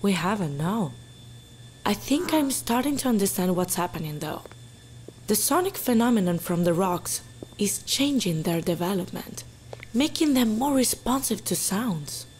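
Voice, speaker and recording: A woman playing a rattled, analytical scientist in an audio drama, speaking haltingly. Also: mid-range voice, sing-song, a little mellow, a little hiss or hum, lightly acted, rough recording. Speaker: scientist character.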